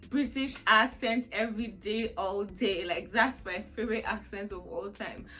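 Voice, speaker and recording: A woman's voice: mid-range voice, normal speed, bright, forceful tone, faint music behind. A woman in her twenties chatting through a question-and-answer vlog, rambling and laughing often. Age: 20s. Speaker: vlogger. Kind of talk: casual Q&A chat.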